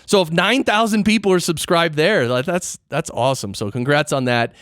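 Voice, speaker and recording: A man's voice: on the high side, normal speed, fairly bright tone, dry recording, studio quality. A man hosting a podcast in casual interview chat, playful and slangy, given to exclamations. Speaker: podcast host.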